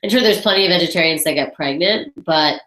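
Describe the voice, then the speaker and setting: A woman with a low, congested voice talks through a webinar Q&A in an echoey room. She chats loosely and thinks aloud between viewer questions. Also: fast, a bit bright. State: congested with a head cold. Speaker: webinar host. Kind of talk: casual Q&A on a webinar.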